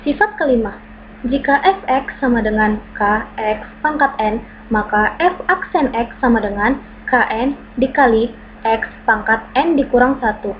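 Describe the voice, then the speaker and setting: A woman with a slightly high voice reads through formulas and worked examples like a tutor. She goes step by step in a steady, methodical way. The recording sounds dull and lo-fi, with a clear hum running underneath. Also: a little slow. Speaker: math tutor. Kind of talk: reading out a math lesson.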